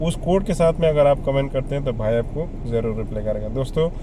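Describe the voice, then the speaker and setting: A man's voice: medium pitch, animated, quick pace, background noise. A man giving casual, conversational advice to viewers as a vlogger, often repeating himself and listing examples. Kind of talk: casual explainer addressed to viewers.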